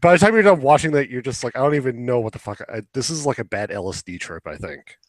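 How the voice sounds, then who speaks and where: A man's voice: medium pitch, animated, quick pace, dry recording. Blunt man chatting in a dry recording. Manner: informal, blunt, opinionated, swears. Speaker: podcast co-host. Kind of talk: casual chat and commentary.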